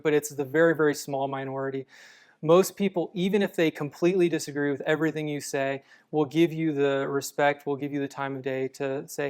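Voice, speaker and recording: A man answering interview questions about apologetics in a calm, measured, explanatory way. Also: on the high side, clean tone. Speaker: apologetics guest.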